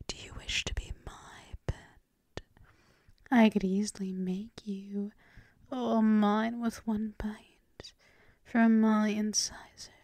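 A woman playing a seductive, dominant female vampire mistress in an ASMR roleplay, mostly in a breathy, purring whisper, teasing and possessive. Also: medium pitch, dark tone, no echo, studio quality. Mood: possessive, coaxing. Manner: slow, purring, lingering with hums.

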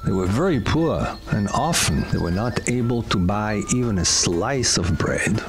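A man narrating a scripted fairy tale with deliberate storytelling delivery, as if for children. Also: on the low side, expressive. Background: music.

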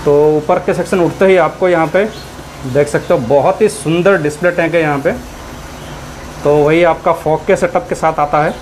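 A man talks viewers through a pet shop in a slightly raised voice, easy and chatty as he lists what is on the shelves. The sound comes from the camera as he walks indoors. Clear shop noise and an air conditioner hum sit behind him. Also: a little high, fairly even intonation, normal speed, rough recording. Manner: chatty, pointing out items and prices to viewers. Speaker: aquarium hobbyist vlogger. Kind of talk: casual shop tour narration.

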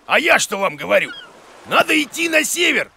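High, creaky, goofy cartoon teacher. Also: male voice, very animated, moderate pace, bright, forceful tone, a little hiss or hum, exaggerated voice. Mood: cocksure. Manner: blustering, cocksure, exclaims in alarm. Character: goofy know-nothing bearded cartoon teacher, bossy and excitable. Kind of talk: children's cartoon voice acting.

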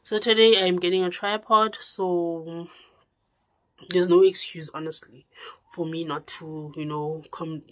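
Slow-talking, congested young woman vlogger. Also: steady tone, low-quality recording. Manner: chatty, with drawn-out words and sudden drops to a low murmur. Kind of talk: casual direct-to-camera chat. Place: small room indoors.

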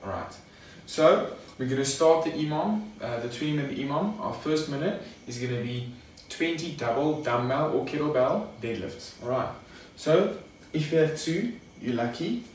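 A man's voice: fairly high pitch, animated, normal speed, hardly any pauses. A young man coaching a workout demonstration in a casual, instructional manner. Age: young adult. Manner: casual, instructional, addressing viewers as guys. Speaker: fitness coach. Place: garage home gym. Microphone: camera a few metres away. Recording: echoey garage, distant mic, faint noise.

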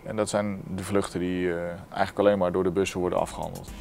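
Male airport controller, thirties, low voice, orderly documentary explanation over faint music. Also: little pitch movement, quick pace, creaky. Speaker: apron controller, bus coordinator. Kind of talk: documentary interview about work. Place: glass-walled airport control tower office.

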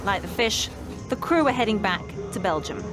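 A woman's voice: mid-range voice, expressive, moderate pace. Woman narrating a news report over music. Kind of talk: scripted narration. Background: background music.

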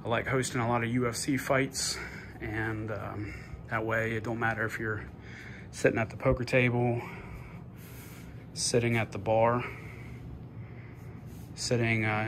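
A man casually narrating a walk-through tour of his basement game room, offhand and pausing often. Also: medium pitch. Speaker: homeowner giving a video tour. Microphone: handheld phone, behind the camera. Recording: noisy indoor phone video.

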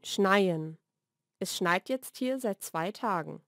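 A woman reads short scripted vocabulary lesson sentences in a clearly enunciated, measured way. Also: mid-range voice, expressive, a bit bright, dry recording, studio quality. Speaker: language lesson narrator. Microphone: studio voice-over recording.

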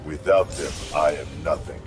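A man's voice: a bass voice, normal speed. A man playing a grim, honour-bound warrior in a video game, speaking in terse, stern, formal lines. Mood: solemn. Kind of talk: video game dramatic acting. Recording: very loud music behind. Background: music.

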